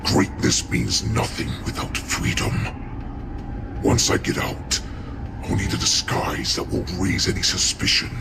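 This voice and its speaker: An actor playing an ancient, savage beast lord in a video game, growling slowly with menace and contempt. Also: male voice, very animated, background noise, full character voice. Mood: contemptuous. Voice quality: deep gravelly growl.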